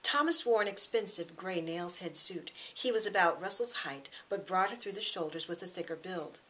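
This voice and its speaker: A woman's voice: fairly low pitch, animated, moderate pace, a bit bright, rough recording. A woman narrating her own novel as a scripted audiobook, acting out the dialogue expressively. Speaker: author reading own novel.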